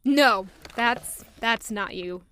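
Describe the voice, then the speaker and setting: Woman acting a self-critical young fantasy heroine, higher-voiced. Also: drawn-out words, bright, forceful tone, clear, resonant tone, heavily acted. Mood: flustered, defensive. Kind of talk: audio drama acting with first-person narration.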